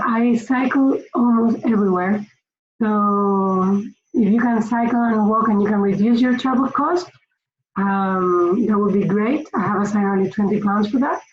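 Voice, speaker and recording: A woman from Spain with a Spanish accent gives informal instructional tips to camera in a slightly hesitant, halting way. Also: medium pitch. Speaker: finance consultant giving budgeting tips. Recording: roomy echo, dull lo-fi sound, faint noise.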